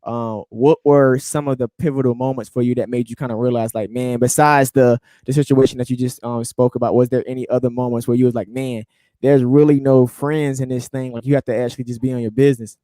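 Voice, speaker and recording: A man's voice: mid-range voice, fairly even intonation, fast, warm tone, no echo. A man hosting a casual interview, speaking in a friendly, loose way with lots of fillers. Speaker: interview host.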